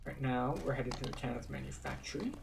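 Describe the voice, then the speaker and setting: A man gives relaxed, tour-guide style commentary over his gameplay. His voice sits a bit away from the microphone, and steady noise runs under it. He explains as he goes, calm and practical. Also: medium pitch, fast, gravelly, recorded from a distance. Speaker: game walkthrough host.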